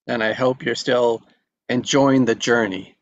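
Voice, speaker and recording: A man's voice: mid-range voice, steady tone, unhurried, muffled recording. A man hosting an online table read, chatting casually in an upbeat, rambling, encouraging way. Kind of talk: casual chat. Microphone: video call.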